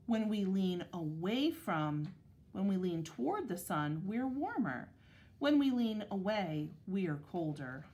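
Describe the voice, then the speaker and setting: A woman teacher reading a picture book aloud to young children, with measured, deliberate phrasing. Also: medium pitch, sing-song, darkish tone.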